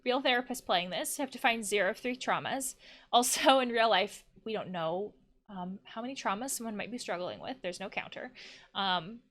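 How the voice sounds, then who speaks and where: A woman's voice: mid-range voice, moderate pace, fairly bright tone. A woman giving casual let's play commentary as a therapist, thinking aloud in a reflective way. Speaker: therapist playing and commenting on a video game.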